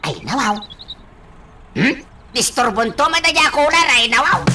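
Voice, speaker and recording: A woman's voice: high voice, expressive, measured pace, music in the background. A woman with an Ilocano accent acting a flustered, comically stubborn woman in a radio drama, repeating her exclamations. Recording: noisy recording with clicks.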